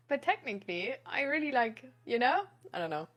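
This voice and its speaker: A woman's voice: fairly high pitch, sing-song, moderate pace, muffled recording. A woman livestreamer chatting casually with her viewers in a hesitant, rambling way that often trails off. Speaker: live streamer.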